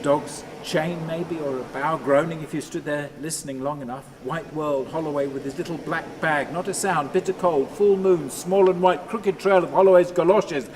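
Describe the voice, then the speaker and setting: A brooding, lonely man, played by a male actor, delivers a radio drama monologue in halting, repeated fragments. His voice sits a little high, and there is clear ambient noise behind him. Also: natural voice. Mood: hushed, brooding. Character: troubled, lonely man obsessively telling himself stories.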